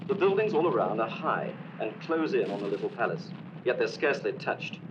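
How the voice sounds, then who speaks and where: A man's voice: medium pitch, big pitch swings, a little fast, background noise. Male reporter on an old lo-fi broadcast. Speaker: foreign correspondent. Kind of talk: archival news report. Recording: dull lo-fi archive broadcast.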